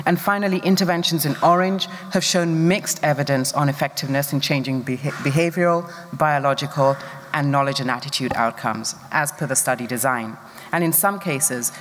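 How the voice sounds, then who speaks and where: A conference presenter giving a formal, scripted talk in a measured, deliberate way. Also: mid-range voice, fairly bright tone. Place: large conference hall. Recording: long reverb of a conference hall.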